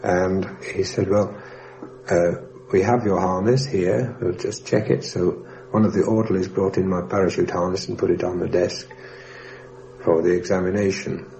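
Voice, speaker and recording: A man giving a measured, orderly first-person account of his time as an airman and prisoner of war for a documentary. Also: low voice, steady tone, gravelly, darkish tone, low-quality recording. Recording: faint hum, 1960s radio documentary recording.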